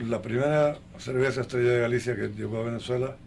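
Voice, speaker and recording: An older man being interviewed in a conversational way, speaking haltingly and listing things one by one, with a gravelly voice. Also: mid-range voice, darkish tone, a little hiss or hum. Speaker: interview guest.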